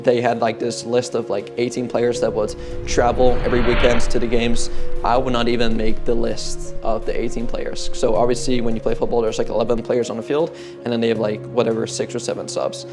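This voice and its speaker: A man's voice: medium pitch, level tone, fairly quick. A man in his twenties from the Netherlands, a fitness coach giving a casual motivational talk, rambling and filling his sentences with "like" and "kinda". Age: 20s. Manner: rambling, heavy use of "like" and "kinda". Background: guitar music.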